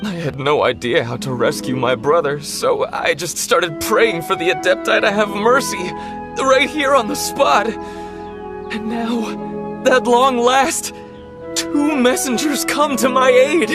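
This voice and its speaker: Desperate pleading young villager. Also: male voice, high voice, takes it slowly, music in the background. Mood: remorseful, pleading. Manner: pleading, fawning, fretful. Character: desperate, humble, poor young male treasure hunter pleading for help. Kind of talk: dramatic fantasy game voice acting.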